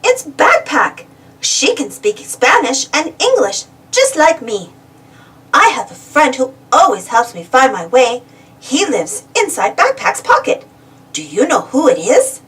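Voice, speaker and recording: High-pitched woman reading a children's storybook. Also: measured pace, distant mic. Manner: expressive, asking questions directly to young listeners. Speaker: storybook reader. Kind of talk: animated read-aloud storytelling for young children.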